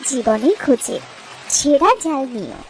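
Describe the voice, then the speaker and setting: A woman narrating a scripted story in a measured, poetic manner. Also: high-pitched, big pitch swings, darkish tone, slight background noise, poor audio.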